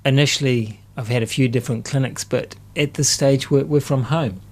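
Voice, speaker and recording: A man speaking as a guest in a casual radio interview, explaining things in a halting, reflective way. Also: medium pitch, steady tone. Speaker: studio guest, acupuncture practitioner. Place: radio studio. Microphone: studio microphone.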